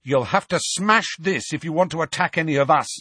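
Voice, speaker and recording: A man voicing a reckless teenage boy wizard, talking fast and defiantly to buy time. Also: fairly high pitch, pushed and bright, husky, no room sound, voice pushed up. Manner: brash, talking fast to stall. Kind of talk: audiobook character voice.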